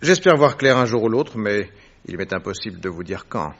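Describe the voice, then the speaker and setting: Composed detective, lowish pitch, incisive polite questioning, French radio drama, dull recording. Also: male voice, animated. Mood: measured, businesslike. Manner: measured, incisive, courteous. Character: composed, shrewd male detective, probing and politely ironic.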